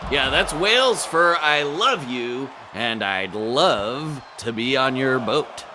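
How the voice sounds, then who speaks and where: A man's voice: medium pitch, expressive, slow, noisy background. A man doing color commentary on wrestling, sarcastic and jokey, playing up mock outrage.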